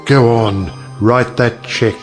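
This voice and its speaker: Man playing a sardonic, speechifying middle-aged gentleman. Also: medium pitch, fairly even intonation, slow, faint music behind, close to an everyday voice. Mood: wry. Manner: rhetorical, sardonic, declamatory. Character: witty cynical older uncle, a judge who lectures on marriage. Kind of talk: stage play audiobook acting.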